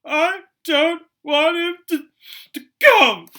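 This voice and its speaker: Wailing spoiled little brat. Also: male voice, very high voice, drawn-out words, voice pushed up, full character voice. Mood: fake sobbing. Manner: whining, yelling through pretend sobs. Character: spoiled, wailing young boy, fake-sobbing brat.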